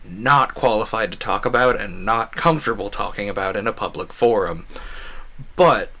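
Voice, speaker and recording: A man's voice: fairly low pitch, moderate pace, sharp tone. A man giving casual, chatty, opinionated commentary as a game streamer, often wandering off on digressions.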